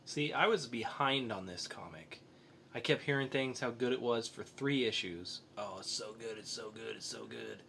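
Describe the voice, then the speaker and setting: A man hosts a casual review podcast. His voice is bright and medium in pitch, in an easy conversational style. Faint background noise sits behind him. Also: moderate pace, creaky. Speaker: podcast host. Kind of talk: casual comic book review chat.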